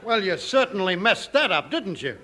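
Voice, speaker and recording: An actor playing a lovestruck, grumbling, put-upon sidekick in a 1950s British radio comedy. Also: male voice, high voice, big pitch swings, normal speed, noisy background.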